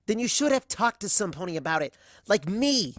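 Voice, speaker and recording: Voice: medium pitch, big pitch swings, a little fast, no room sound, clean studio sound, pitched above the performer's own voice, lightly acted. A reader voicing a hurt, bitter young female pony with a terse, emotionally strained delivery, in a dramatic fan fiction reading. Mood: angry, bitter.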